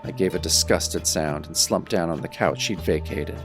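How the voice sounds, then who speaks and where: A man's voice: very deep voice, moderate pace, music in the background. A man playing a brooding young werewolf who narrates his own story in a wry, dramatic radio-drama style. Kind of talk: first-person audio drama narration, old radio show style.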